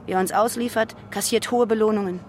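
A woman's voice: mid-range voice, steady tone, a little fast, slight background noise, lightly acted. An actress in a radio drama playing a guarded, militant West German woman underground, terse and ideological in manner. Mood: defiant, righteous.

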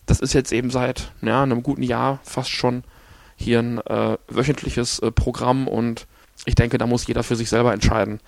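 A man hosting a podcast on his own, hoarse after an illness, rambling along with many fillers and restarts. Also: mid-range voice, little pitch movement, normal speed, dry recording. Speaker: podcast host. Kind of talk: casual solo podcast talk.

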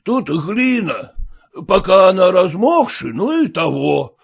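Storybook role: placid peasant driver played by a male reader, slow folksy drawl, soothing, a bit husky. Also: sits high, big pitch swings, voice pushed up, heavily acted. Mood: musing.

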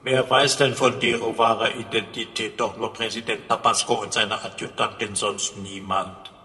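An actor playing a servile, pompous computer assistant with flowery, mock-courtly speech in a German sci-fi radio play. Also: male voice, lowish voice, little pitch movement, normal speed, sharp tone. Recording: long synthetic reverb.

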